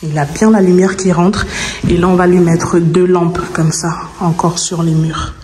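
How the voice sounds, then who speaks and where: A Cameroonian woman whose first language is English talks casually to her vlog, musing aloud and dropping in English words. Her tone is mellow. She sounds distant from the microphone, in an empty room with long, heavy reverberation. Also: lowish voice, fairly even intonation, moderate pace. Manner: informal, thinking aloud, slips in English words. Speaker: vlogger. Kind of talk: casual vlog chat while working. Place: empty freshly plastered room indoors. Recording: very echoey empty room, faint noise, distant mic.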